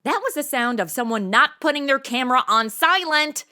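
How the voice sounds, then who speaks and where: A woman's voice: sits high, expressive, normal speed, background noise. A woman playing a brash, flippant undercover gal in a comedy audio drama, with casual, exaggerated wisecracking delivery.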